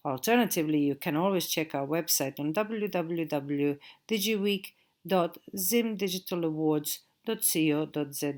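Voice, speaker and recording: A middle-aged woman from Croatia speaks with a Croatian accent in a slightly low voice. She delivers a steady recorded lecture as a digital marketing trainer and slows down to spell out web addresses. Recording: audio-only recording.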